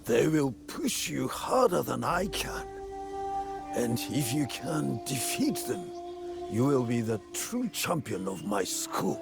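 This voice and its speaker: An actor playing a grave older sword master in a video game cutscene, speaking in a formal, deliberate and weighty manner. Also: male voice, mid-range voice, sing-song, gravelly, over music, exaggerated voice. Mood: grave, challenging.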